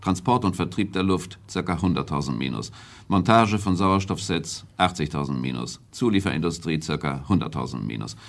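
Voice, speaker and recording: An actor playing a stern, authoritative prime minister in a radio play, speaking formally and deliberately, as if lecturing. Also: male voice, sits low, animated, gravelly. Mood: insistent. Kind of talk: German radio play acting.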